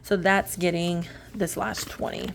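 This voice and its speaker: Chatty woman in her late twenties, lowish voice. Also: measured pace, creaky, slight background noise, low-quality recording. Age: late 20s. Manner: chatty, rambling, self-correcting, laughs at herself. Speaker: budgeting vlogger. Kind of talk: casual chat while stuffing cash envelopes.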